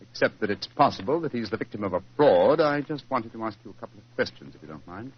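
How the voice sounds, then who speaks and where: An actor in a 1940s radio drama playing a shrewd, self-assured British gentleman detective, crisp and courteous in delivery. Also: lowish voice, expressive, quick pace. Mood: brisk, confident. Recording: dull, noisy 1940s radio recording.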